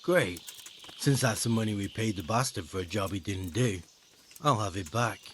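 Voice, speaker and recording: An actor playing a brusque, mocking bandit recruiter in a medieval video game, with a sardonic, needling delivery. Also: male voice, fairly low pitch, moderate pace, a little hiss or hum, natural voice. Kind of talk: video game cutscene acting.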